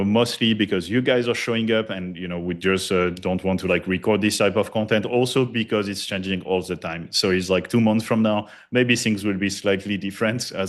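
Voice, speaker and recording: A middle-aged man with a French accent gives a loose, energetic live presentation, full of fillers and casual cursing. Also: lowish voice. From France. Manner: loose, hype-driven, heavy filler words and cursing. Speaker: conference presenter, studio founder.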